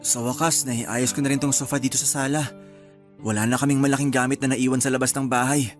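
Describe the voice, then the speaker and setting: A man with a Filipino accent voicing a relaxed, cheerful young husband in a radio drama. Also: mid-range voice, quick pace, music in the background, natural voice. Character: young husband, hardworking and easygoing.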